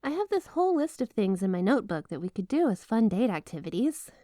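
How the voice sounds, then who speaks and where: A woman's voice: medium pitch, big pitch swings, fast talker, mellow, no echo, clean studio sound, close to an everyday voice. A woman playing a flirty, obsessive yandere wife in an ASMR roleplay, gushing and giggly with a coyly menacing sweetness. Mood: affectionate, coy. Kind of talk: ASMR roleplay addressed to the listener.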